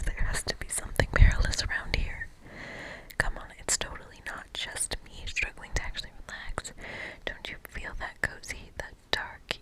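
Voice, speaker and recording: A woman's voice: natural voice, rough recording. Woman whispering as an earnest, restless young witch. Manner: dramatic, fretful, solemn incantations. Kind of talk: whispered ASMR game read-aloud.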